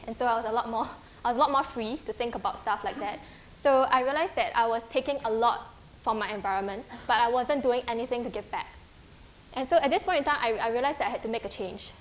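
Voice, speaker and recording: A woman in her twenties with a Singaporean English accent speaks to students in a lecture hall. Her voice sits a little high, and she talks in a friendly, earnest way, stopping often for ums and restarts. A faint background hum runs under her speech. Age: 20s. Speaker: guest speaker. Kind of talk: conference talk with slides.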